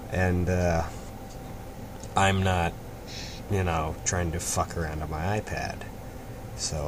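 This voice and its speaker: A man reading a story aloud in an informal amateur way, sometimes stumbling over words and repeating them. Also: deep voice. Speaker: fan fiction reader and host. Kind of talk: amateur read-aloud of a story with casual asides. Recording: noisy amateur home recording.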